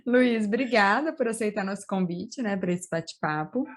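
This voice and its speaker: A woman co-hosts a podcast in a casual, friendly way. She chats easily with her guest, at an ordinary mid pitch. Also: animated, moderate pace. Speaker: podcast co-host.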